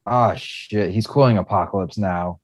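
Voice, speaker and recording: A man's voice: fairly low pitch, moderate pace, darkish tone, close to an everyday voice. A man acting a laid-back, easily impressed slacker buddy in a screenplay table read. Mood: worried.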